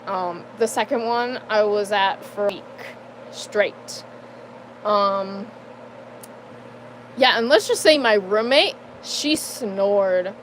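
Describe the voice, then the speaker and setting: A young adult woman giving a casual personal life update, halting and full of fillers, with nervous laughs between sentences. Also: medium pitch, slight background noise, poor audio. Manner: hesitant, halting, many fillers and restarts. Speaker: vlogger.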